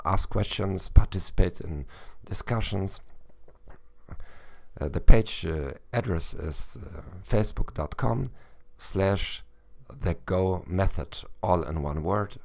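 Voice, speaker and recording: Hoarse deep-voiced man with gravelly fry. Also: darkish tone. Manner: halting, with many "uh" fillers and restarts. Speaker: podcast host and language teacher. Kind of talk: solo educational podcast talk.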